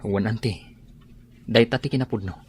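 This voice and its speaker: An anxious, deferential nephew played by a man in an Ilocano radio drama. His voice is on the low side, with an Ilocano accent and faint ambient noise behind. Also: moderate pace, close to an everyday voice. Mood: uneasy. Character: anxious, respectful nephew reluctantly informing his aunt.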